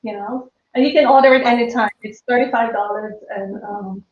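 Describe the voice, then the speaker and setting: Jamaican accent mixed with British and American, woman, fifties, laughs a lot, high-ish voice, roomy, muffled stream audio. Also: fairly even intonation, brisk. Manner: chatty, laughs often, many restarts. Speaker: guest, small business owner. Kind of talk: casual live stream chat.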